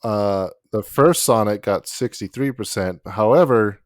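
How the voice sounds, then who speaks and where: Low-voiced man hosting a casual podcast. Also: a little slow, no room sound, studio quality. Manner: relaxed, chuckles often, reads figures off notes. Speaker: podcast host.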